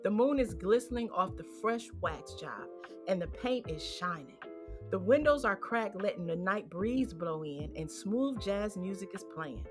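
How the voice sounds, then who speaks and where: A woman's voice: medium pitch, expressive, unhurried. A woman with an American accent narrating a scripted story as its storyteller. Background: music.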